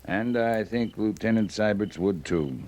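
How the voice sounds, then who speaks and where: A man acting a calm, wry, authoritative cavalry captain in a 1950s radio western, measured and understated. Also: on the low side, close to an everyday voice. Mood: knowing, firm. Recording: noisy vintage radio recording.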